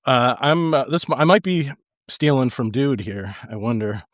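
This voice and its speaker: A man speaks as a podcast panelist in a very dry recording with no room sound. His casual music talk comes haltingly, with frequent pauses. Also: mid-range voice, lively intonation, no echo. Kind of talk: casual chat about pop music.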